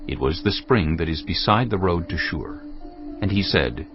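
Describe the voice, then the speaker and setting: A man narrating scripture from a script in a measured, dramatic way. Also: deep voice, animated. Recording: slightly dull audio, music behind. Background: background music.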